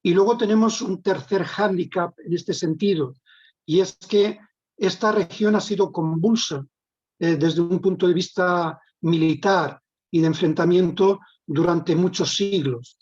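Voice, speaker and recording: A man lecturing in answer to audience questions in a measured, explanatory way. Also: high voice, darkish tone, slightly rough. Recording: dull lo-fi online call.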